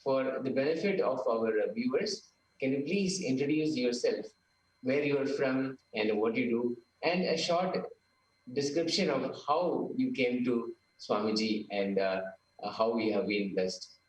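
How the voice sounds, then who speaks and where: A male program host giving a polite, formal welcome and introducing a guest, pausing often on fillers. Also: medium pitch. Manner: polite, with frequent filler pauses. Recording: distant, echoey room sound.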